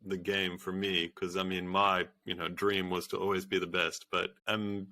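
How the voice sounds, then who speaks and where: A man answering an interview question in a candid, hesitant way, with halting phrasing. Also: sits low, flat delivery, sharp tone. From Australia.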